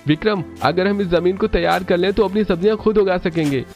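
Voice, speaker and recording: एक आदमी जो एक खुशमिज़ाज, मेहनती जवान पति की आवाज़ ऊँची करके बोलता है।. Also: male voice, high voice, fairly quick, faint music behind, pitched above the performer's own voice. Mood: cheerful. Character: cheerful hardworking young husband.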